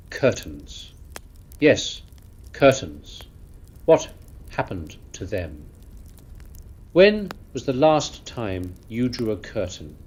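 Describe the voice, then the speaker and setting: A man playing a world-weary old lord, delivering a florid, disdainful comic monologue in a pompous, theatrical manner. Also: mid-range voice. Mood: haughty, musing. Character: pompous, world-weary old aristocrat lamenting lost elegance. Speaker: host.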